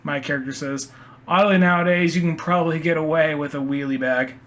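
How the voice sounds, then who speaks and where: A man's voice: a little high, steady tone, fairly quick, fairly bright tone. A young man co-hosting a podcast with casual, conversational commentary peppered with "like". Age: young adult. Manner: conversational, filler-heavy with frequent "like". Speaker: podcast co-host.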